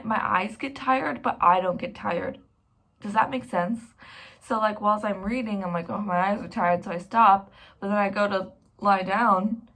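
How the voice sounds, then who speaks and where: A woman's voice: mid-range voice, fairly bright tone. A young woman from Canada talks straight to her viewers in a loose, rambling vlog. She pokes fun at herself as she goes, in an easygoing, conversational voice. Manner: chatty and rambling, self-mocking. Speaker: vlogger. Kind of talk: casual vlog talk to camera.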